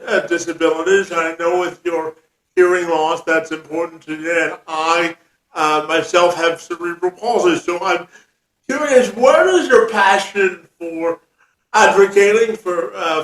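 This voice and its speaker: Male interview host, high-pitched, stammering conversational questions, roomy echoing recording. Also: a bit bright. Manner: halting, stammered phrasing. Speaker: podcast host.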